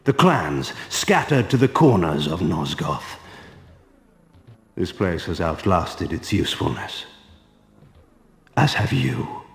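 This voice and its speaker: An actor playing a proud, world-weary ancient vampire lord in a video game, speaking in a grandiose, scornful, deliberate way. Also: male voice, low voice, sing-song, creaky, heavily acted. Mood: cold, grave. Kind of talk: theatrical video game cutscene acting. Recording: echoey hall reverb, faint music.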